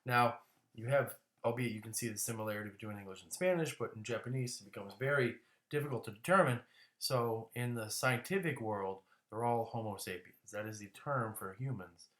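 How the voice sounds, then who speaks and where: A man's voice: mid-range voice, brisk, fairly bright tone, echoey room. A man teaching a classroom lecture in a casual way, often restarting words and coughing now and then.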